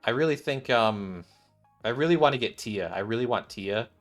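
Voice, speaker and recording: A man's voice: mid-range voice, expressive, moderate pace, faint music behind. A man giving casual, off-the-cuff commentary on a game, rambling and thinking aloud as he plays. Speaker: gaming video creator.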